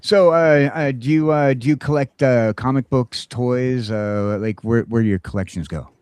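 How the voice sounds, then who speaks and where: A man hosting a casual talk show, joking along in a halting way and often repeating his phrases. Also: mid-range voice, a little mellow, clean tone, dry recording, clean studio sound. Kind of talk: casual chat.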